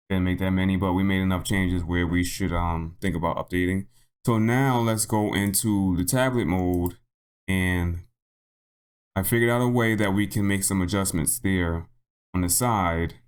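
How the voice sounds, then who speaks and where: Male tutorial host, lowish and a little hoarse, informal walkthrough delivery that thinks out loud. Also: fairly even intonation, normal speed. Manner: thinks aloud, repeats himself. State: slightly hoarse. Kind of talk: casual unscripted software tutorial narration.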